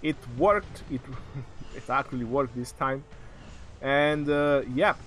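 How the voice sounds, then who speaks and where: A man calling a strategy game match live, in excitable, joking play-by-play, his voice going hoarse. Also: mid-range voice, slow pace, slight background noise.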